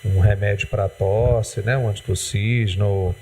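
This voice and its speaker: A man's voice: lowish voice, fairly even intonation, measured pace, darkish tone, slight background noise. A man with a Brazilian accent hosting a TV health interview, asking rambling, hesitant questions full of "né". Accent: Brazilian Portuguese. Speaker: TV host. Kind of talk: interviewing a guest on a community TV health segment. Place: TV studio.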